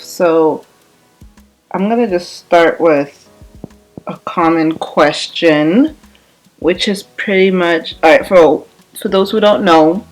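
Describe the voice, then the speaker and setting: A woman's voice: fairly low pitch, rough recording. A woman in her late twenties vlogging casually, rambling and repeating herself with lots of fillers. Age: 20s. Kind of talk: casual chat while doing her hair.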